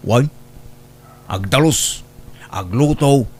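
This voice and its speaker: A man's voice: mid-range voice, expressive, slow pace, darkish tone, a little hiss or hum. An actor playing a bossy, practical old man in a comedy drama, speaking matter-of-factly. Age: older. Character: bossy, practical old senior-citizen sweetheart. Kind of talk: Ilocano comedy drama acting.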